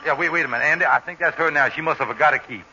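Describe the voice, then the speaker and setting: The scheming Kingfish. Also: male voice, fairly high pitch, bright, forceful tone. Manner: coaxing, fast-talking schemer. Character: scheming smooth-talking husband, the Kingfish. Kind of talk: 1940s radio comedy acting. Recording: dull old radio broadcast, faint hiss.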